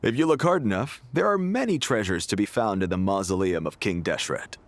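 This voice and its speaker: A man playing an affable but wary desert guard with a sly streak in a video game, expressive and probing. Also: fairly low pitch, fairly quick, no room sound. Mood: sly, coaxing. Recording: music under the voice.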